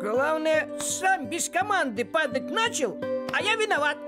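An actor voicing a grumpy, fussy, thrifty tomcat in an animated series, with indignant, sarcastic grumbling. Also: high voice, measured pace, over loud music.

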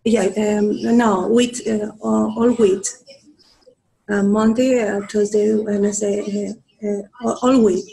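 A native Spanish speaker works through her English slowly, pausing often to find the words. Her phrasing is simple and broken. She is at home on a video call, wearing earphones. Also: mid-range voice, fairly even intonation, vocal fry, mellow, low-quality recording. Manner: hesitant, full of fillers, simple broken English. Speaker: English learner. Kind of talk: halting conversation practice on a video call. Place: home room.

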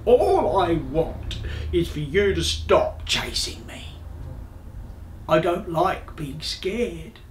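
A man's voice: on the high side, very animated, extremely slow, slight background noise, voice pushed up, full character voice. A man voicing a big, misunderstood dragon in a storybook, roaring yet apologetic and timid. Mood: plaintive. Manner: roaring, plaintive. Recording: roomy, echoing sound.

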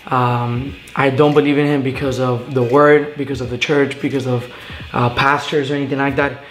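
A man's voice: medium pitch, level tone, fairly bright tone, soft background music. Earnest young man in an echoey room. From Venezuela. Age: young adult. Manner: earnest, halting with many fillers. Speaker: guest. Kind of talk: casual testimony interview. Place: living room, on a couch. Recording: echoey room with faint hiss.

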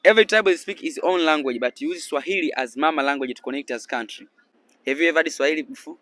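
A young Tanzanian man with a slightly raised pitch, holding a handheld mic out on a sunny boardwalk. He talks to passers-by slowly and patiently, in broken English, pausing as he explains. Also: fairly bright tone. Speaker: street interviewer. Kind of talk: casual street interview. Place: sunny beach boardwalk outdoors.

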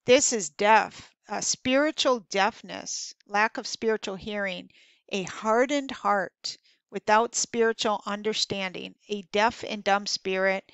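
Slow, earnest woman interpreting a dream. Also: mid-range voice, a bit bright, clear, resonant tone, no room sound. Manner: earnest, reads scripture aloud, hesitant fillers. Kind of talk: devotional dream interpretation over slides.

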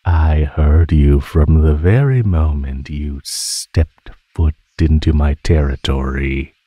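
Man voicing a very deep, teasing predatory naga. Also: mellow, breathy edge, no room sound, exaggerated voice. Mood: amused teasing. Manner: slow, drawling, mockingly sweet belittlement. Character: teasing, predatory male naga toying with captive prey. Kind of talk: ASMR roleplay, scripted monologue.

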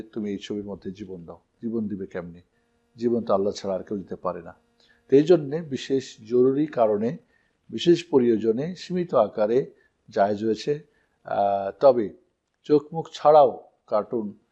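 A muffled, lo-fi recording carries a man's low, dark voice. He is husky and speaks as an Islamic scholar in a question-and-answer talk, teaching firmly and stressing key phrases.

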